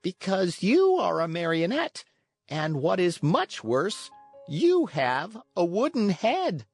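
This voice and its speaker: A narrator plays an old male talking cricket from a storybook, tiny and pitched high. He moralizes with calm patience, his pitch rising and falling in a lively way. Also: takes it slowly, husky, soft background music, full character voice. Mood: sorrowful. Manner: calm, preachy.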